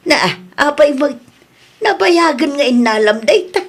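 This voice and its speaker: A woman with an Ilocano accent playing a nagging, blunt older aunt in a comedy radio drama, scolding and expressive. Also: high-pitched, unhurried.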